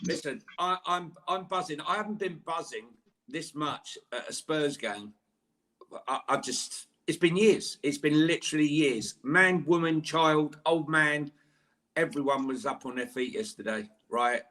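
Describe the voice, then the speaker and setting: Excitable stammering man co-hosting. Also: a little high, measured pace. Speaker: podcast co-host. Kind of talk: live fan podcast chat.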